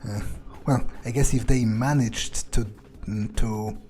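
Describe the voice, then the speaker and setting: A man's voice: on the low side, steady tone, measured pace, mellow. Man musing aloud over game music. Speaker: video game player. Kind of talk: in-character game playthrough commentary, thinking aloud.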